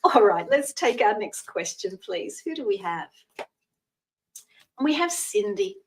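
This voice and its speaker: A Scottish woman in her sixties giving a live tarot reading, chatting in a gentle, reassuring way and using terms of endearment. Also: mid-range voice, sing-song, takes it slowly, distant mic, a little hiss or hum. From Scotland. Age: 60s. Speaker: psychic tarot reader.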